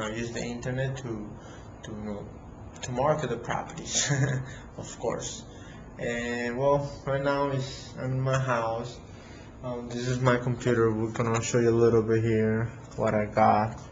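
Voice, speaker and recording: A man with a Latin American Spanish accent, a native Spanish speaker, giving a casual how-to talk at his home computer, halting with many fillers and restarts. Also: medium pitch, echoey room, muffled recording, background noise. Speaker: real estate investor vlogger.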